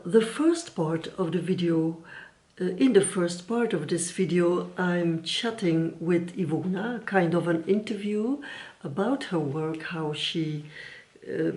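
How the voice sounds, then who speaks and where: A Dutch-speaking woman hosting her own crafts channel, greeting viewers in English in a friendly, halting way with fillers. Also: lowish voice, a little mellow. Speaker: YouTube crafts channel host. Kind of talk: casual vlog introduction.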